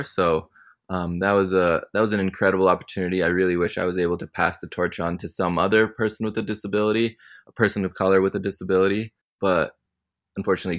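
Young male interview guest, very deep voice, easygoing and reflective delivery. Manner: relaxed, thoughtful, many ums. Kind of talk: casual interview answers.

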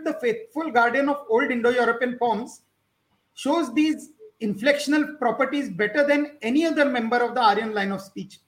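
A man's voice: very high-pitched, fairly bright tone, poor audio. A man, a Konkani speaker, explaining points step by step as a podcast guest. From India. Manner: measured, explains step by step with examples. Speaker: podcast guest, author on linguistics. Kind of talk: explanatory lecture-like talk.

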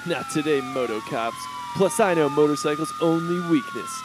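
A man's voice: a little high, wildly swooping pitch, moderate pace, noisy background. A man voicing a cocky, spoiled, swaggering seventeen-year-old rich boy vigilante in a cartoon. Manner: swaggering, bratty. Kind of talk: cartoon voice acting.

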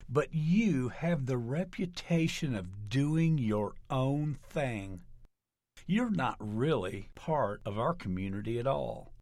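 A man voicing a solemn small-town mayor in a storytelling narration, grave, pointed and deliberate. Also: mid-range voice, lively intonation, a little mellow, no room sound. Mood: pointed, knowing.

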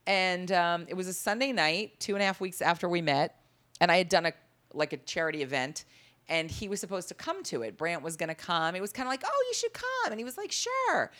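A woman with a low, deep-timbred voice, telling stories in a casual interview and acting out the dialogue. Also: a little fast. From Las Vegas. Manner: animated, acts out quoted dialogue. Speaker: comedian guest.

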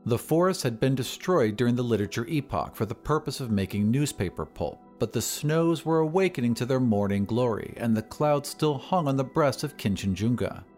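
Man, mid-pitched, scripted story narration, podcast host, faint music behind. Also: lively intonation, a little fast, hardly any pauses, a little mellow. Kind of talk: scripted audio drama narration.

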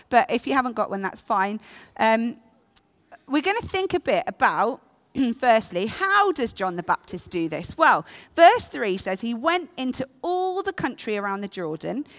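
Chatty woman with a croaky voice. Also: on the high side. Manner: chatty, self-deprecating, laughing asides. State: croaky voice from illness. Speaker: preacher. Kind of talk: all-age church talk. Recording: long church reverb.

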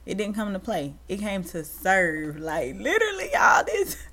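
A woman's voice: medium pitch, moderate pace. A thirty-year-old woman hosting her own podcast, talking casually and with animation straight to her listeners. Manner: animated and chatty, addresses listeners as y'all. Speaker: podcast host. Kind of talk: casual solo podcast chat.

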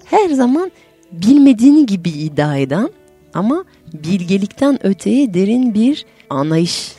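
A French woman with a French accent, a native French speaker hosting a radio show and calmly telling folk tales. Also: medium pitch, moderate pace, husky, dry recording, soft background music. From France. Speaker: radio show host and storyteller. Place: radio studio.

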